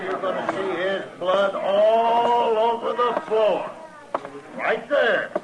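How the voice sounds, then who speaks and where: An actor playing a drunken, belligerent homesteader in an old radio western, rambling and boasting with menace in a drawl. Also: male voice, high voice. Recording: noisy vintage radio recording.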